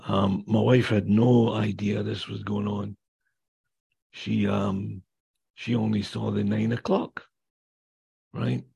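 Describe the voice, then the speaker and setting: An older man with a raspy voice from damaged vocal cords, telling his own story in a plain, halting way with many pauses and restarts. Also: fairly low pitch, flat delivery, warm tone, muffled recording. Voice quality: raspy, gravelly voice. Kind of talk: personal recovery testimony.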